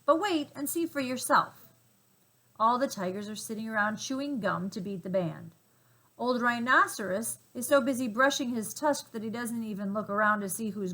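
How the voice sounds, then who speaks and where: Woman voicing an indignant, bright-toned wild boar. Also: on the high side, moderate pace, poor audio. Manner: indignant, emphatic. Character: grumbling gossipy wild boars, old and stubborn.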